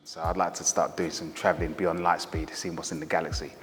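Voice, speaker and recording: A man's voice: sits low, flat delivery, brisk, in a large hall, soft background music. A man speaking conversationally and bluntly in an interview. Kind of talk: interview testimony.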